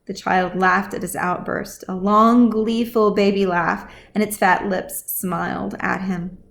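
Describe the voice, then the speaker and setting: Woman, audiobook narrator, fantasy storytelling, medium pitch, scripted reading. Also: steady tone, unhurried, gravelly, fairly bright tone. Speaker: author reading their own audiobook.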